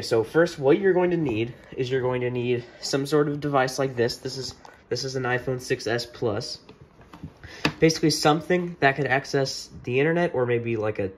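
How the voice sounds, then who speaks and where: A man giving a casual hands-on tech tutorial in a hesitant, halting way, often repeating himself, recorded indoors at a desk. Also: mid-range voice. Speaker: tutorial maker. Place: indoor room at a wooden desk. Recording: noticeable room background noise.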